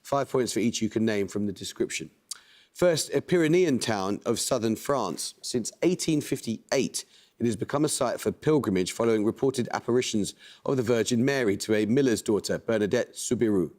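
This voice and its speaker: A man hosting a quiz show, reading questions and introducing teams in a measured, precise way. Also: lowish voice, expressive, a little mellow. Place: TV studio.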